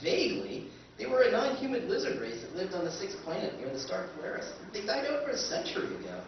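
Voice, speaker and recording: Man acting animated, exasperated space adventurer, very echoey. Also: high-pitched, quick pace, a little hiss or hum, heavily acted. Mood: resigned. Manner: emphatic, indignant. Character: exasperated, blustering male space adventurer and narrator. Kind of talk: live recreation of 1950s radio science fiction. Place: convention performance room, picked up from afar. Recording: echoey convention hall, distant muffled sound.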